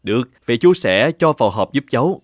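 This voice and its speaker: A man voicing a kindly older eatery owner in a cartoon, calm and reassuring in manner. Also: mid-range voice, brisk, no room sound, studio quality. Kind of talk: animated moral-tale dubbing.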